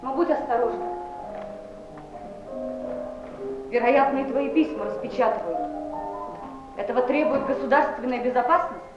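Tender, anxious correspondent played by an actress, high emphatic theatre voice on a muffled, echoing old recording. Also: female voice, a little fast, lightly acted. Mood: cautioning. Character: loving, worried letter writer, earnest. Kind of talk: theatrical reading of intimate letters. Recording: echoey, muffled 1970s stage recording with music.

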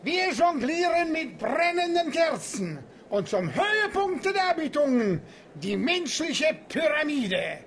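A high-voiced boastful circus boss. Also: male voice, very animated, slow, fairly bright tone, slight background noise, full character voice. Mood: announcing. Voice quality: theatrical barker delivery. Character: boastful male circus director, showman. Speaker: circus director. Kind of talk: radio play acting.

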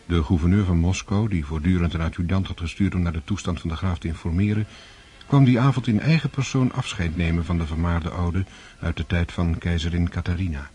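A man's voice: sits low, steady tone, a little fast, dark tone. A man narrating a radio drama in a scripted, literary storytelling style.

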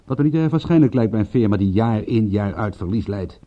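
An actor playing a sharp, persistent private detective in a radio drama, brisk, questioning and commanding, prickly and secretive. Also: male voice, on the low side, lively intonation, highs cut off, natural voice. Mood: excited, probing.

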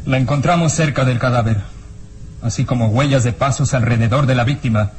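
An actor playing a composed, dutiful police inspector in a vintage radio drama, formal and insistent in manner. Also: male voice, mid-range voice, a little fast, slight background noise, natural voice. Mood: firm, unyielding.

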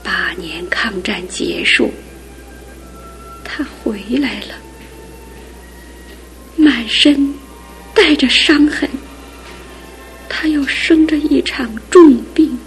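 Slow soft-spoken aunt, middle-aged, half whispering, bit high. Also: female voice, soft background music, full character voice. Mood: sorrowful. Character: aging aunt, gentle, grieving an old love. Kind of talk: radio drama acting.

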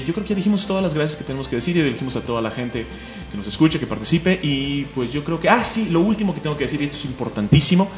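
A man's voice: mid-range voice, reverberant. A man with a Mexican accent hosting a comic radio show, joking and enthusiastic. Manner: fast, joking, enthusiastic, laughs often. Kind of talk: casual radio chat.

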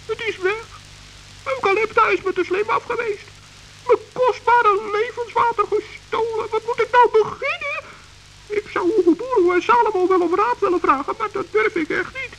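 A small, timid forest gnome frets and stammers, voiced by a woman. His voice is very high and light. He mutters worried pep talks to himself. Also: moderate pace, a bit bright, a little hiss or hum, rough recording. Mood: dismayed. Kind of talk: 1960s children's radio play acting.

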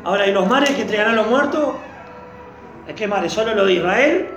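A man's voice: sits high, lively intonation, brisk, a bit bright, roomy sound, muffled recording. A man with a Rioplatense Spanish accent, explaining his view at length in a Bible study group and thinking aloud.

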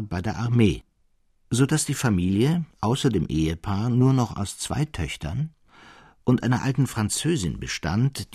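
A man's voice: low voice, darkish tone, slightly rough, no room sound, studio quality, full character voice. An actor playing a Romantic literary storyteller who tells scripted ghost tales to his friends.

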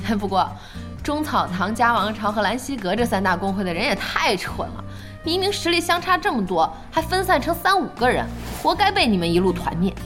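An actress playing a playful, sharp-tongued young female gamer in a Chinese audio drama, teasing and chatty. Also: on the high side, sing-song, fairly quick, faint music behind. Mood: amused.

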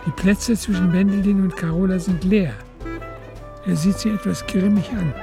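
Female narrator, literary radio play, mid-pitched with some fry. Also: steady tone, moderate pace, music in the background.

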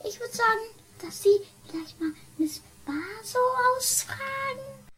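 A woman playing a flustered, naive household cook in a comic radio play, stammering and chattering excitably. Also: extremely high pitch, unhurried, full character voice.

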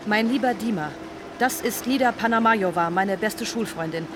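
An actress playing a brisk, bossy wife voicing a letter in a German radio drama. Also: female voice, medium pitch, background noise, natural voice. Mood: breezy, bossy.

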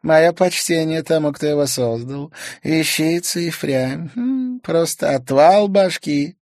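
A man voicing a cruel young witch who taunts in a high, sneering voice. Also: expressive, slow, a little mellow, no room sound, clean studio sound. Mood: mocking.

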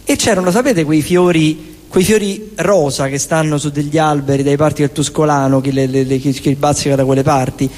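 A man's voice: fairly high pitch, steady tone, brisk, in a large hall. A man with a Roman accent performing colloquial theatrical storytelling, repeating phrases rhythmically. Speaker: storyteller and singer-songwriter performing theatre and music. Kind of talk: theatrical storytelling and spoken song over guitar. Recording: loud guitar music under the voice. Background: acoustic guitar.

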